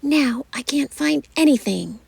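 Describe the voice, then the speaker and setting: A woman's voice: mid-range voice, vocal fry, a little mellow, breathy edge, dry recording, full character voice. Woman voicing a nagging old mom. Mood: fussy. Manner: nagging, indignant, quick to argue. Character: fussy bossy older mother, sharp-tongued.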